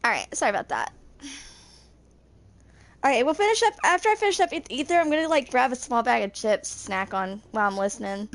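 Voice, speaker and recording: A woman's voice: a little high, animated, brisk. A woman in her twenties chatting casually to viewers as a streamer, informal and rambling. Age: 20s.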